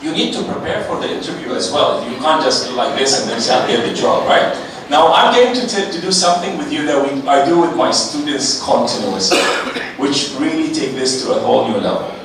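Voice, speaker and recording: Animated man in an echoey hall. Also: mid-range voice, a little fast, gravelly. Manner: animated, coaxing the audience. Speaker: panellist. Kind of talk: seminar talk leading an audience exercise. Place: large seminar hall. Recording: echoey hall, distant mic, noisy.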